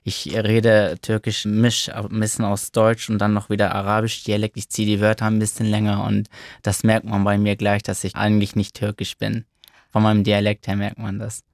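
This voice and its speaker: A young man with a low, gravelly voice answers questions in a soft-spoken, unhurried way. His pitch stays flat and level. The sound is dry and close. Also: no room sound. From Germany. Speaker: interviewee. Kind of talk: casual interview talk.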